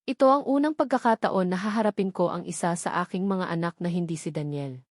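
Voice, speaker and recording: A woman's voice: lowish voice, brisk, darkish tone, no echo. Woman narrating a dramatic story, dry sound. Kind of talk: scripted first-person drama narration.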